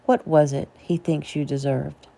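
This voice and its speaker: A woman's voice: low voice, fairly even intonation, a little slow, vocal fry, mellow. A woman voicing a caring, protective man in a story, speaking in a soothing, patient manner. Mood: gentle, patient.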